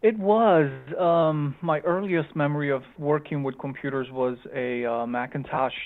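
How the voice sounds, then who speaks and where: A man's voice: on the high side, unhurried. A man born in Romania and raised in Chicago, with a slight Romanian accent mixed with a Chicago one, answering interview questions casually and hesitantly, with many ums and restarts. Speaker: startup founder guest. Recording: tinny phone-line sound.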